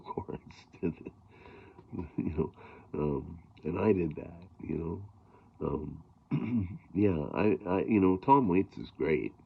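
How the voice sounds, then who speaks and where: Man, middle-aged, very gravelly, husky, rambling casual talk, tinny phone-like audio. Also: deep voice. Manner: rambling, many fillers and throat clearing. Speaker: blues harmonica player.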